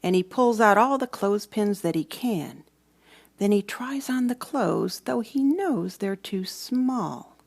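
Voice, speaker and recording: A woman narrates a children's storybook in a mid-pitched voice. She reads expressively, leaning on dramatic words and dropping into hushed moments. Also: lively intonation, slow, gravelly. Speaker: storybook reader.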